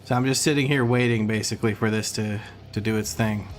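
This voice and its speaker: Guy streamer from croatia but sounds american, rambly relaxed chat w/ viewers, soft music in the back. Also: on the low side, a little fast. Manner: relaxed, rambling, reads chat aloud, stumbles and restarts. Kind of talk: casual chat with viewers while gaming.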